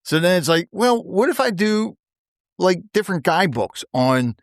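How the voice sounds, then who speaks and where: A man's voice: sits high, sing-song, moderate pace, dry recording, studio quality. A man hosting a podcast, upbeat and laughing often, first reading a scripted introduction and then chatting casually. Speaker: podcast host.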